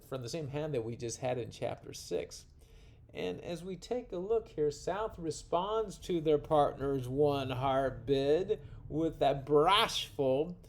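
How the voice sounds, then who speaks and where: A man's voice: on the high side, gravelly, darkish tone. A man presenting a card game tutorial as its instructor, informal and folksy, with playful exclamations and rambling asides. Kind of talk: informal tutorial narration walking through card hands.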